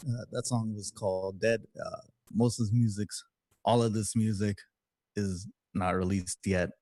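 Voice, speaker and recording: A young man chatting casually between songs of his livestream set, halting and rambling with many fillers. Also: fairly low pitch, fairly even intonation, mellow, soft background music. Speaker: musician performing a livestream set. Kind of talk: casual between-song chat.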